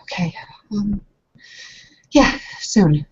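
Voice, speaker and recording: A woman playing a nervous, polite young wife in a tabletop roleplay, speaking in a halting, awkward way. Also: medium pitch, expressive, a little mellow, breathy edge, muffled recording, heavily acted. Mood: awkward, earnest. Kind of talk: tabletop roleplay, improvised in character.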